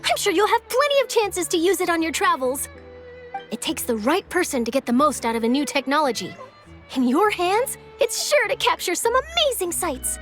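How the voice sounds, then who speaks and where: Young female journalist, high voice, animated, eager, encouraging, articulate, anime game, faint music. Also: normal speed, exaggerated voice. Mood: cheerful, confident. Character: bright, upbeat, encouraging young female news reporter.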